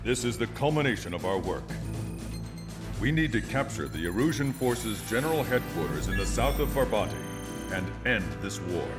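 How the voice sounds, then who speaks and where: A resolute military commander in a video game, with a fairly low voice. He briefs in a formal, authoritative and deliberate way, with loud music behind him. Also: sing-song. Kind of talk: scripted military mission briefing. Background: loud cinematic score.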